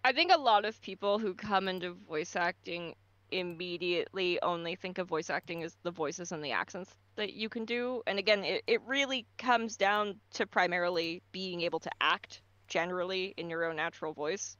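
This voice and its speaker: A woman's voice: lowish voice, moderate pace, a bit bright, no echo, highs cut off. A woman voice actor giving casual advice on a livestream, explaining at length with frequent ums and stammered restarts. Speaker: voice actor guest.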